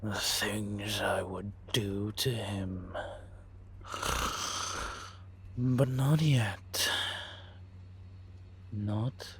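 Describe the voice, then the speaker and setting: A man playing a world-weary, wry and menacing vampire intelligence operative in an audio roleplay, confiding in a measured, drawn-out way. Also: lowish voice, a little hiss or hum. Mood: quiet menace. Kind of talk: roleplay audio drama monologue addressed to a listener.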